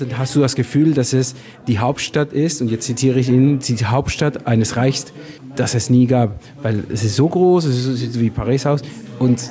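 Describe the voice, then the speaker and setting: A man from Argentina, a Spanish speaker, reflecting haltingly as he searches for words. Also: mid-range voice, warm tone. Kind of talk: reflective interview talk.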